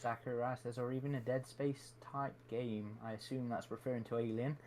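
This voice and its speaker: A man's voice: mid-range voice, flat delivery, fairly quick, darkish tone, a little hiss or hum. A man, co-hosting a live game stream, talking in a thoughtful, hesitant way with many fillers. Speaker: stream co-host. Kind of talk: casual gaming chat.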